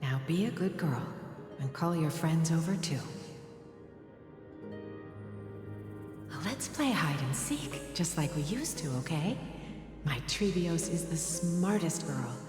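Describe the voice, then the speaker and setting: A doting priestess mother from a video game in a low, breathy voice. She speaks slowly and soothingly, patient and tender, over clearly audible music. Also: expressive. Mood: tender, coaxing.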